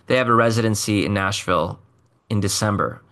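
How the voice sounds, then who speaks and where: A man's voice: lowish voice, brisk, a bit bright. A man, a singer being interviewed, telling a story in a chatty, self-deprecating way with loose fillers. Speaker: singer and musician guest.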